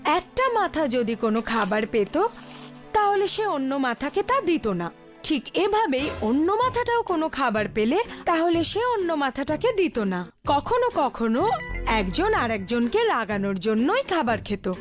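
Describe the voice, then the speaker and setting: A woman's voice: high voice, big pitch swings, measured pace. A woman narrating a scripted children's story. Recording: faint music behind the voice.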